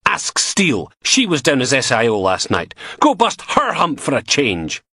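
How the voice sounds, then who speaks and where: A man's voice: medium pitch, wildly swooping pitch, slow, warm tone, slightly rough, no room sound, studio quality, pitched above the performer's own voice, full character voice. A man voicing a weary, exasperated male detective sergeant. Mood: fed up.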